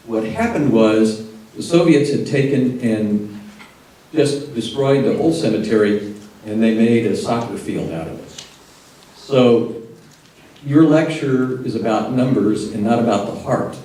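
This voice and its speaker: A man's voice: mid-range voice, unhurried, warm tone. A man from Texas speaking from the floor of a lecture, telling a personal story in an earnest way before he puts his question. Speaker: audience member asking a question. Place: lecture hall. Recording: echoey hall, distant mic, faint noise.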